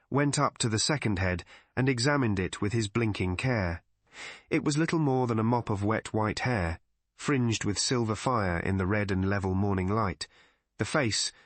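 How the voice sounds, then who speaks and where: Measured male audiobook narrator, dry close sound. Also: on the low side, fairly bright tone, no echo. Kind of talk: scripted literary narration of a mystery story.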